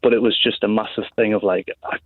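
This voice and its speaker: A man's voice: lowish voice, level tone, fast talker, no echo. A man calling in to a radio phone-in, telling a story in a casual, hesitant way. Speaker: caller. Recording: tinny phone line.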